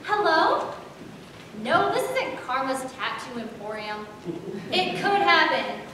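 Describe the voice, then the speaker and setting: A teenage girl in a school readers theater, speaking dramatically with rapid asides. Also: high-pitched, very animated. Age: teens. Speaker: student performer. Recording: echoey room from far off with noise.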